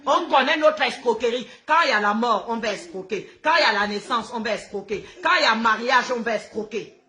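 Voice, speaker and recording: A woman's voice: on the high side, fairly quick, sharp tone. A woman with a Gabonese accent, a native Fang speaker, ranting live to her followers in an outspoken, combative, repetitive way. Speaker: social media host.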